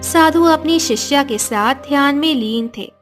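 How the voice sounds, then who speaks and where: High-pitched woman narrating a storybook tale. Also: measured pace. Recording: background music under the voice.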